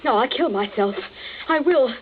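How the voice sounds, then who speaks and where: An actress playing a distraught, hesitant young daughter in a 1940s radio drama. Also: female voice, high voice, expressive, moderate pace, slight background noise. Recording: distant lo-fi radio broadcast with music.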